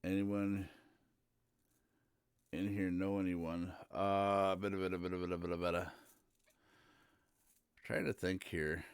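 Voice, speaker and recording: Deep-voiced man streaming. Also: flat delivery, slow pace, pauses often, clean tone, no room sound, studio quality. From central Minnesota. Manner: rambling and easygoing, with frequent "uh" fillers. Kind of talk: casual live-stream chat.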